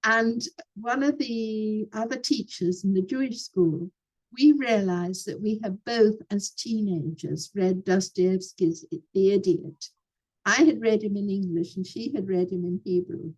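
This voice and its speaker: An older woman, a former professor, reminiscing in an unhurried conversation with frequent pauses and fillers. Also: mid-range voice, a little mellow, poor audio.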